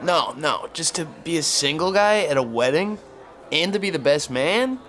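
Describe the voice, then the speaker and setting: A man's voice: a little high, lively intonation, moderate pace, fairly bright tone. A man acting an insufferable, smug twenty-three-year-old in a podcast sitcom. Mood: envious. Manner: pretentious.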